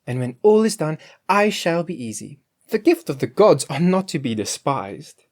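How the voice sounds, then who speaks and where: A woman's voice: low voice, sing-song, normal speed, a little mellow, voice pushed up. A storyteller voicing a cheeky, greedy female cook from a storybook, merry, emphatic and full of excuses for herself. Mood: smug. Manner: emphatic, exclaiming. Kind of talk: storybook character voice.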